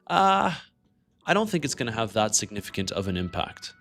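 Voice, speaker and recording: Low-voiced man. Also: big pitch swings, brisk, fairly bright tone. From Canada. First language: French. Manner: conversational, greets and answers chat. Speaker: livestream host. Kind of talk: casual livestream market commentary.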